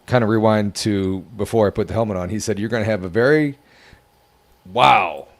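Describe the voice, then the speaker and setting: A man telling an emotional personal story in casual podcast talk, halting and earnest. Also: lowish voice. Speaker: podcast co-host.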